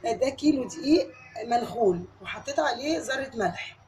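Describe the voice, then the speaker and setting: Fast-talking Egyptian woman with a tired voice. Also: on the high side, lively intonation, darkish tone, a little hiss or hum. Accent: Egyptian Arabic. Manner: chatty, addresses viewer directly, step-by-step. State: tired voice from a cold. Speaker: home cook. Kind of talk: cooking tutorial. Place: home kitchen.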